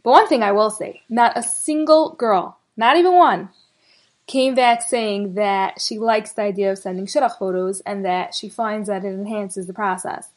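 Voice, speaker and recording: A woman's voice: medium pitch, normal speed. A woman hosting a show, introducing guests and reading listener messages with emphasis. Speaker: podcast host.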